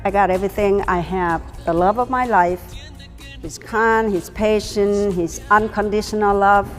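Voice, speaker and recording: A woman's voice: mid-range voice, steady tone, unhurried. Lady in her 60s, earnest and emphatic, bit of hall echo, music underneath. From Thailand. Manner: earnest, emphatic, non-native grammar. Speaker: ballroom dancer, interviewee. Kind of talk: interview answers.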